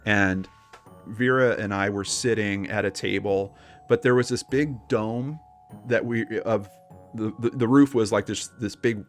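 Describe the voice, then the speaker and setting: A man's voice: on the low side, fairly even intonation, measured pace, faint music behind. A man co-hosting a casual paranormal discussion, talking informally and telling personal anecdotes with plenty of conversational asides. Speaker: podcast co-host.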